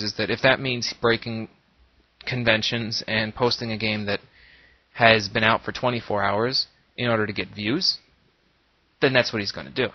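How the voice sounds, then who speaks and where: A dull, lo-fi recording of a man with a fairly low, slightly nasal voice, a little stuffed up with a cold. He talks off the cuff in an earnest, reflective way, explaining his thinking like an online video creator. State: coming down with a cold. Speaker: YouTube Let's Play creator. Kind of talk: casual unscripted monologue. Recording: boxy echoing room, dull lo-fi microphone.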